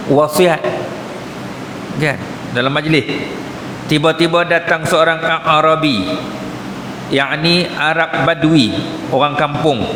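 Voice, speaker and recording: A reverberant hall recording with clear background noise carries a man's fairly high voice. He speaks with a Kedah Malay accent, teaching religion in a colloquial, explanatory way and dropping in short questions to his listeners. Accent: northern Malay, Kedah. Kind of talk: mosque lecture explaining a religious text. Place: mosque hall.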